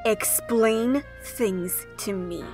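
A woman's voice: on the high side, drawn-out words, over music. A woman playing a timid, bewildered young schoolgirl with an earnest, flustered manner in a cartoon dub. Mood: exasperated.

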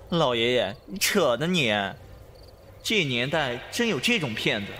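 An actor playing a brash, impulsive but devoted young man in an expressive Chinese costume audio drama. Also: mid-range voice, slow, slight background noise, exaggerated voice. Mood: startled, scornful. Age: young adult.

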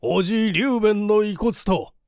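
A man voicing an anxious, excitable country gentleman in a dramatic story reading, with an agitated, emphatic delivery. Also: sits high, moderate pace, smooth, no room sound, studio quality, pitched above the performer's own voice. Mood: excited.

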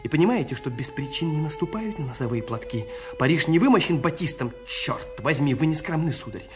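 An actor playing a refined musketeer in a radio play, courteous and precise but haughty and quick to take offence. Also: male voice, fairly high pitch, lively intonation, over music, lightly acted. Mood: haughty, restrained.

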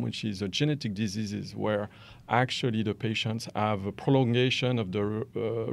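A man's voice: lowish voice, creaky. A man in his forties from France with a French accent, a guest in a podcast interview, talking with lots of fillers and hand gestures in a studio. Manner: animated, gestures with both hands, hesitant fillers. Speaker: guest, company founder. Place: small dark studio set, seated in armchair. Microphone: studio microphone on boom stand.

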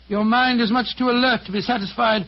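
An actor playing an earnest, blustering companion to a detective in an old-time radio drama. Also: male voice, steady tone, fairly quick. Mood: grumbling, glum. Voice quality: husky, high for a man. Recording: dull old radio recording.

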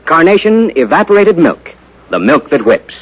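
A man with an American accent, a polished, formal 1950s radio announcer delivering sponsor pitches. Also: sing-song, normal speed, breathy edge, low-quality recording. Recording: vintage 1950s radio broadcast.

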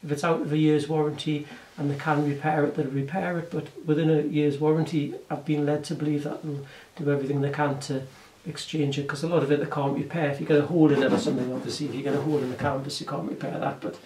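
A man in his forties with an English accent, chatting casually through a bag review, hoarse from a sore throat and often correcting himself. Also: on the high side, flat delivery, quick pace, hardly any pauses, darkish tone, reverberant, far from the mic, a little hiss or hum, rough recording. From England. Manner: rambling, self-correcting, chatty. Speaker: vlogger reviewing bags. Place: room indoors, curtain backdrop.

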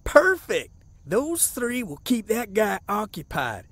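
A man's voice: high-pitched, wildly swooping pitch, slow, fairly bright tone, no echo, full character voice. A man voicing a sly, rustic frontier guide villain, slangy and plotting, in a cartoon comic dub. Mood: sly glee. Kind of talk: fan comic dub acting.

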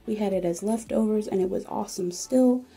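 Warm, slightly husky woman host. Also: medium pitch, a little fast. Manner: chatty, encouraging, step-by-step. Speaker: cooking channel host. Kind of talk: friendly recipe voice-over.

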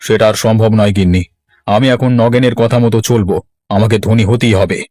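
A man's voice: on the low side, steady tone, normal speed, a little mellow, no echo, natural voice. A man playing a gullible, stubborn married village headman hungry for wealth in a cartoon, with an earnest, dramatic delivery. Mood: stubborn, aggrieved. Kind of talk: Bengali cartoon voice acting.

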